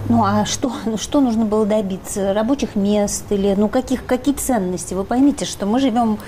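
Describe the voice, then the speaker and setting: Woman studio guest, assertive and argumentative, mellow tone, faint room hum. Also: medium pitch, brisk. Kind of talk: panel discussion.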